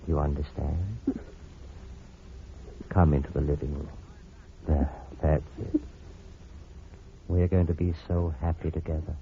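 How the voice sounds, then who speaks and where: An actor playing a smooth, manipulative doctor in a 1940s radio drama, with a theatrical, coaxing delivery. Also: male voice, rumbling low, full character voice. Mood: pleading, ardent. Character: smooth, scheming, manipulative male doctor, secretly menacing. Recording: muffled, noisy vintage radio recording.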